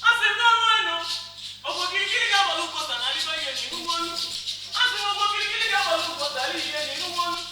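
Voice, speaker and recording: A fiery Igbo chanter, played by a woman on stage, rouses the people with rapid, repetitive calls in a Nigerian Igbo accent. The voice is extremely high and cutting, caught from far off in a very echoey hall with music behind. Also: animated, heavily acted. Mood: rousing, declamatory. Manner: loud, incantatory, repetitive calls. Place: stage in a large echoing hall, distant mic.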